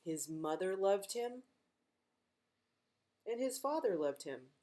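A middle-aged woman reading a picture book aloud to young children, gentle and expressive, as a librarian at home. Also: medium pitch, slow. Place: living room at home, indoors.

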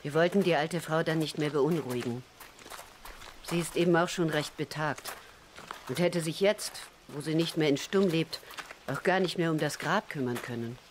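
A woman's voice: sits low, normal speed, slight background noise, natural voice. An actress playing a genteel, sympathetic 1920s Viennese lady in a radio drama, formal and subdued. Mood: subdued, regretful.

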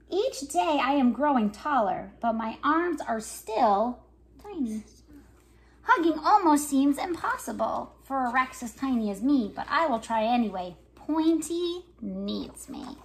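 A woman's voice: a little high, heavily acted. A woman voicing a small, young, earnest and determined T-rex from a storybook, with simple, careful phrasing.

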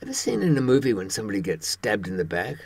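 A man in his seventies giving a rambling monologue to camera with wry, dark humour, often hesitating as he searches for words, in a husky voice. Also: on the low side, huge pitch swings, fast talker, a little hiss or hum. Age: 70s. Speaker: host recounting experiences from a criminal past.

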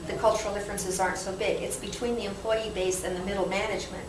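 A woman's voice: medium pitch, fairly even intonation, fast. A woman giving a prepared conference talk to a live audience in an explanatory, emphatic way. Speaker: conference presenter. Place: conference session room. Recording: echoey noisy room, distant microphone.

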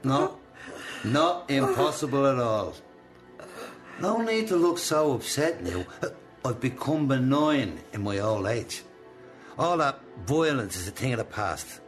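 An ageing Irish boss in a radio play. He coaxes deliberately, with a benign surface over controlling menace. Music sits faintly behind his medium-pitched voice. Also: sing-song. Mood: quietly menacing. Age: older. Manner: slow, deliberate, coaxing. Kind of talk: radio drama acting.

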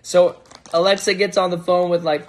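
An American man in his thirties telling a story casually to camera, animated and halting as he repeats words. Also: high voice. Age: 30s. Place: home kitchen, indoors. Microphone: phone propped on table at arm's length.

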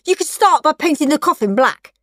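A woman's voice: high voice, expressive, a little fast, a bit bright, dry recording, clean studio sound, voice pushed up. A woman voicing a naughty, boastful young boy who schemes gleefully in a children's storybook. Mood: scheming.

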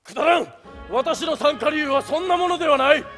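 A man's voice: very high voice, lively intonation, a little fast, a bit bright. A man playing a proud, stiff rival prosecutor with formal declamatory delivery in a stage play. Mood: pompous. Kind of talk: theatrical stage play acting. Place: theatre stage. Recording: theatre recording with music behind.

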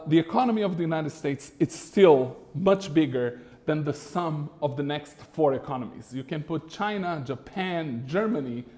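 A middle-aged man from Brazil giving a masterclass presentation to a live audience in a small room, animated and conversational with frequent fillers. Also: a little high. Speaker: business consultant lecturer. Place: small lecture room with a screen.

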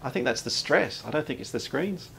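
A man in his thirties chatting casually and haltingly making radio calls as a trainee, thinking aloud and sounding flustered, recorded in an indoor room. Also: mid-range voice, animated, creaky, a bit bright, a little hiss or hum. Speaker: pilot and vlogger trying controller training. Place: indoor tower simulator room.

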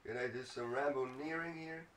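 A man's voice: mid-range voice, a little fast, a bit bright. Roomy, noisy recording of a male gamer. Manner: muttering to himself, mildly profane. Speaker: gaming video host. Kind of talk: casual let's play commentary. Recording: roomy, distant mic, clearly noisy with clicks.

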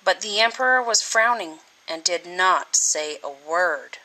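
A woman reading a children's storybook aloud with deliberate, expressive, dramatic phrasing. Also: on the low side, rough recording. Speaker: teacher reading a picture book.

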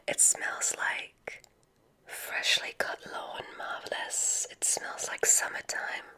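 Slow, whispering ASMR witch woman. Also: low-quality recording. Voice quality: soft unvoiced whisper. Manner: slow, gentle, soothing instructions with pauses. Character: kindly formal witch examiner at a magic school. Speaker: ASMR roleplay host.

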